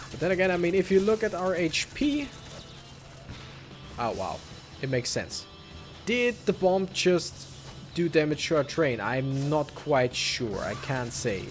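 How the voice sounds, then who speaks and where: A man giving casual, rambling let's play commentary with wry asides. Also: fairly high pitch. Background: game music.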